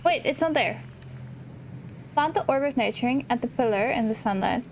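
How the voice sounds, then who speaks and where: A woman hosting a gaming video, chatting casually and excitably as she plays and often exclaiming. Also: a little high, normal speed, slight background noise, poor audio. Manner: excitable, exclamatory, chuckles at herself. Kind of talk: casual let's-play chat, reading game dialogue aloud.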